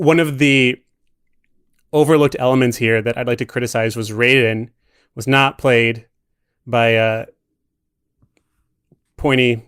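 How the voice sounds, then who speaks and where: A man's voice: medium pitch, a bit bright, smooth, no echo, studio quality. A man speaking as a podcast guest in a casual, conversational discussion of film.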